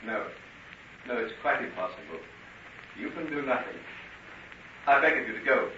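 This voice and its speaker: An actor playing a tormented gentleman doctor, strained and urgent, in an old-time radio drama. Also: mid-range voice, expressive, moderate pace. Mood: anguished, urgent. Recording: distant, echoey, dull noisy radio recording.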